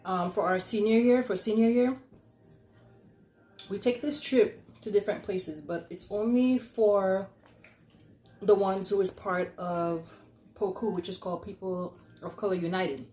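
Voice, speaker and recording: A woman's voice: medium pitch, fairly even intonation, a little slow, warm tone. A young woman telling a casual, easygoing story as a vlog host while eating. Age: young adult. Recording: roomy echo, some background noise.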